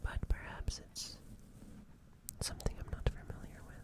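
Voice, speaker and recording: An ASMR streamer voicing a gentle, curious alien caretaker in a breathy whisper, soothing and repeating words and phrases. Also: normal speed, close to an everyday voice.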